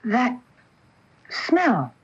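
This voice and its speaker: An earnest young would-be actress in a radio play, with a fairly high voice full of wonder. The sound is a muffled, lo-fi broadcast tape. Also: female voice, wildly swooping pitch, extremely slow, husky, exaggerated voice. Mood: uneasy. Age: young adult. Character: eager young aspiring actress from small-town Iowa. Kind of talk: radio drama acting.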